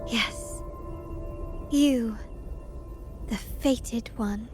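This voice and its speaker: A poised, cryptic stranger. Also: female voice, a little high, a little mellow. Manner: measured, elegant, teasing. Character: poised, enigmatic adult woman, knowing and cryptic. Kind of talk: game cutscene voice acting. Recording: music score behind.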